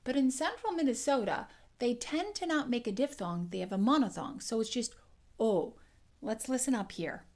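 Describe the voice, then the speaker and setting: Woman, voice and dialect coach, accent lesson, demonstrates sounds, clear explaining tone. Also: a little high, lively intonation, moderate pace, darkish tone.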